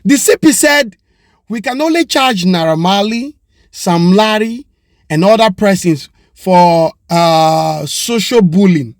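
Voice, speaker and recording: Nigerian man, Pidgin English accent, high voice, impassioned commentary, rhetorical questions, close dry mic. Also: expressive, takes it slowly, no echo. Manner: passionate, rhetorical questions, mixing Pidgin and Yoruba. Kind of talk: opinion commentary monologue.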